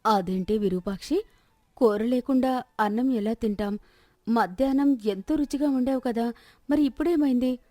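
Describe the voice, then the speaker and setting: A woman's voice: on the high side, normal speed, warm tone, no room sound, close to an everyday voice. A woman voicing a miserly, bossy woman guest in a moral story, with a nagging manner. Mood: puzzled. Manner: nagging, advising. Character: miserly, bossy female houseguest and relative.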